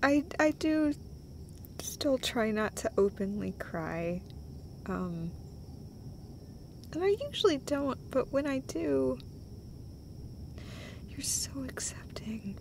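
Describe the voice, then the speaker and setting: A woman performing an intimate comfort roleplay as an affectionate girlfriend, speaking tenderly and emotionally in a halting way that often drops toward a breathy whisper. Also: high voice, sing-song, a little mellow, a little hiss or hum. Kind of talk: intimate comfort roleplay, acted as one side of a conversation.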